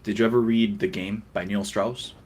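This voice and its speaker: A man's voice: fairly low pitch, fairly even intonation, moderate pace, a little mellow, a little hiss or hum. A man hosting a casual interview, asking questions and giving short, easygoing affirmations. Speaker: podcast host.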